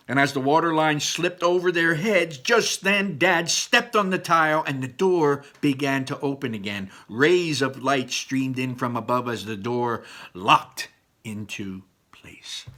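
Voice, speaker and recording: Guy reading a kids book out loud, bright punchy voice, really dramatic, explains stuff simply. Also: a little high. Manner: dramatic, emphatic, explains things simply to children. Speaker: children's read-aloud storyteller. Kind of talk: animated storybook narration with explanatory asides.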